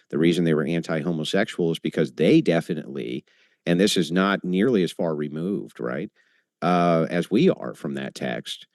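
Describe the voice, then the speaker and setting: A deep-voiced man pressing his questions. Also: darkish tone, no echo, studio quality. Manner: presses with pointed, rapid follow-up questions. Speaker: debater. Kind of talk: live debate.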